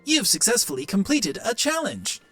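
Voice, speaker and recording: A man's voice: high-pitched, wildly swooping pitch, moderate pace, fairly bright tone, soft background music, pitched above the performer's own voice, heavily acted. A man voicing an older professor who narrates a monster adventure game in scripted storytelling lines. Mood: measured, storytelling.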